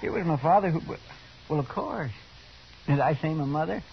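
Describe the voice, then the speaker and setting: An actor playing a good-natured, eager marshal's helper in a radio Western, with a rural American drawl and a homespun, deferential way of talking. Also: male voice, on the high side, normal speed, gravelly. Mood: sheepish, amused. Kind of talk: 1950s radio drama acting. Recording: muffled, noisy 1950s radio recording.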